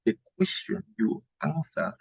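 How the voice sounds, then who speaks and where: A man's voice: a little slow, no echo, rough recording. A man with a Cameroonian accent teaching an exam revision lesson, didactic and repeating phrases for emphasis. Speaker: history teacher.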